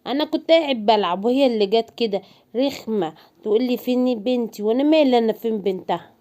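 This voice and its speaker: Woman playing a quick, chattery young lion cub. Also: a little high, mellow, voice pushed down, close to an everyday voice. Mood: annoyed. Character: young lion cub, playful, cheeky, easily scared son. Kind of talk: children's cartoon story.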